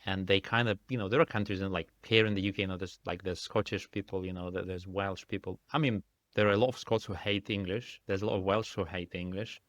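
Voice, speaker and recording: Russian man speaking English, low voice. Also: fairly even intonation, fairly bright tone, no echo. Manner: thoughtful, halting, many restarts and fillers. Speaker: indie game developer guest. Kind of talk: casual interview conversation. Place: lives in the UK.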